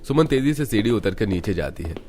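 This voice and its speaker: A male storyteller with a deep voice narrates an animated family tale from a script. Music plays softly behind him. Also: fairly quick.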